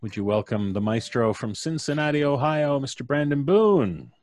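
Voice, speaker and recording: A man's voice: mid-range voice, lively intonation, moderate pace, darkish tone, dry recording, studio quality. A man with a North American accent hosting a casual live stream, jovial and playfully flowery in his introductions. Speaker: host and showrunner of a storytelling podcast. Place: at home, on a live video stream.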